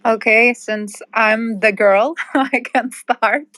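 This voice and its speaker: A young woman from Lithuania with a smooth, clear voice introduces herself in a light, unhurried way. The sound is very dry and close, with no room around it. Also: on the high side, fairly even intonation, a bit bright, no echo. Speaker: guest account manager. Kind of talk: casual self-introduction.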